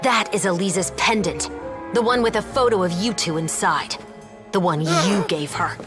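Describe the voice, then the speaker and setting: A woman voicing a cold, vengeful interrogator in a video game, stern, deliberate and accusing. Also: fairly low pitch, music in the background, close to an everyday voice. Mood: cold accusation.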